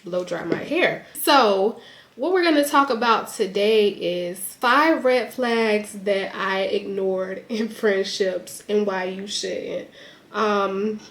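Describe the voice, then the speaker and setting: A woman's voice: mid-range voice, takes it slowly, a little hiss or hum. A young woman in a casual sit-down chat to her camera, a bit congested, talking with lots of "like" and "um". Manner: chatty, lots of "like" and "um". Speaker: YouTuber. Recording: echoey room.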